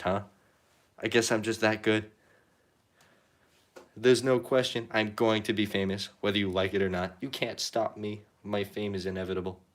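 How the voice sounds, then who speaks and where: A young man chatting casually while painting on a live stream, rambling and self-deprecating, his pitch rising at the ends of phrases. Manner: rambling, self-deprecating, reads chat comments aloud. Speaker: painting livestreamer.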